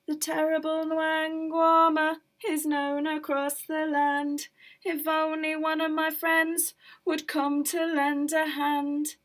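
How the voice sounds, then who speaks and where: A woman voicing a frightened village woman in a storybook tale, chanting her worries in a loud, anxious sing-song. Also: very high-pitched, takes it slowly, far from the mic, pitched above the performer's own voice.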